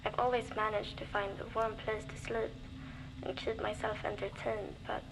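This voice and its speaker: A woman's voice: medium pitch, flat delivery, normal speed, gravelly, close to an everyday voice. An actress playing a young, lonely, worn-down woman surviving alone in a frozen wasteland, speaking wearily and bluntly in a game's audio diary, with dry humour and frequent swearing. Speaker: game character recording audio diaries. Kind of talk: scripted voice acting as a diary log. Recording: noisy cassette tape recording.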